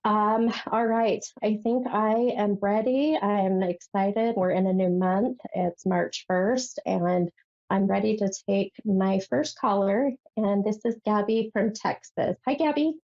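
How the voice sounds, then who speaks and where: A woman's voice: mid-range voice, unhurried, darkish tone. A woman with a head cold and a nasal voice, hosting a live call-in psychic radio show, rambling with many fillers and calling callers hon. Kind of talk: live call-in tarot reading. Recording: muffled lo-fi stream audio.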